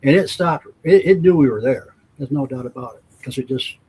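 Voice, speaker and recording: A man with a raspy voice chatting casually as a podcast co-host, rambling and repeating himself. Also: mid-range voice, a little fast, a little mellow, low-quality recording. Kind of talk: casual chat.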